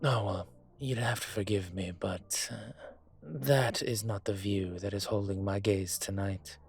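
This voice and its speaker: A man acting a suave, older, gentlemanly dragon mafia boss in a romantic roleplay audio drama, courtly and teasing, with a husky voice. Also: on the low side, fairly even intonation. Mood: teasing, smitten. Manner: unhurried, courtly, teasing, intimate. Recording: music behind the voice.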